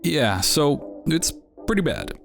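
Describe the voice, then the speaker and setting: A man's voice: a little high, big pitch swings, slow pace, vocal fry. A man hosting and narrating a video in a wry, conversational way. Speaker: host. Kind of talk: scripted narration. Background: music.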